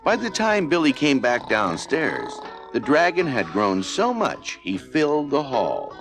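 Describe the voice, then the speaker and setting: A man's voice: medium pitch, wildly swooping pitch, measured pace. A man narrating a children's read-along storybook in a scripted style. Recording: music playing behind narration.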